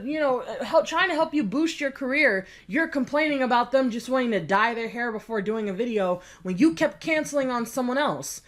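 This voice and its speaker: A woman giving a sarcastic, exasperated commentary rant while reacting to a video. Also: high voice. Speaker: commentary youtuber. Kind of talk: reaction rant over a clip.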